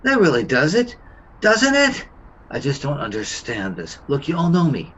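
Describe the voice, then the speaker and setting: A man's voice: fairly high pitch, wildly swooping pitch, normal speed, a bit bright, lo-fi sound, a little hiss or hum. A man playing an accused suburban husband, bewildered, defensive and indignant, in a radio play.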